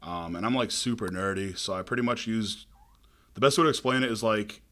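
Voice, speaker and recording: A young man with a deep voice, a podcast guest telling stories in a relaxed, casual way with many fillers. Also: brisk.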